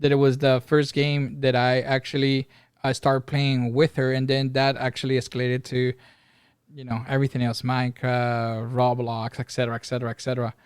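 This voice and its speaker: A man's voice: mid-range voice, monotone, smooth, dry recording. A middle-aged father co-hosting a family podcast at home, speaking earnestly and thoughtfully into a close studio mic. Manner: earnest, thoughtful, halting with fillers. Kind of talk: casual family podcast talk. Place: home room. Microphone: studio mic on boom, headset.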